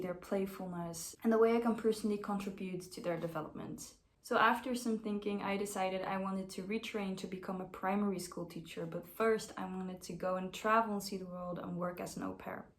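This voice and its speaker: A woman's voice: medium pitch, steady tone, fairly quick, few pauses. Young woman in an echoey room. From Netherlands. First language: Dutch. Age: 20s. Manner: earnest, conversational. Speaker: au pair applicant. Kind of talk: self-introduction video. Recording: roomy echo.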